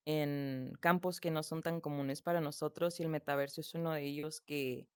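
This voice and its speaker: A woman who is a podcast guest, speaking in an earnest, measured, inviting way. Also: deep voice, a little mellow, clean tone, no echo, studio quality. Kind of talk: casual chat.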